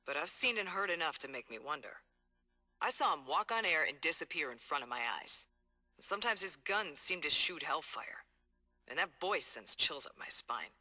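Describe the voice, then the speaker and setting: An actor playing a determined, hard-boiled police detective dictating earnest, streetwise case logs in a video game. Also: male voice, on the high side, sharp tone, exaggerated voice. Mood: uneasy, skeptical. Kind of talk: video game audio log monologue.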